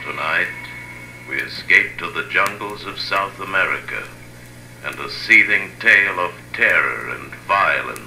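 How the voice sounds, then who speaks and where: An old radio recording with faint noise and an extremely deep, sharply bright male voice. a portentous announcer, slow and dramatic in 1950s style. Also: animated, vocal fry, heavily acted, poor audio.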